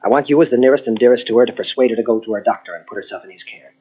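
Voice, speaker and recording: An actor playing a grave, earnest psychologist in an old-style radio mystery, husky and deliberate, with dramatic pauses. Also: male voice, mid-range voice, lightly acted. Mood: grave, urgent. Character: earnest, grave male psychologist confronting a killer. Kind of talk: 1940s radio mystery acting. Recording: dull lo-fi old radio tape.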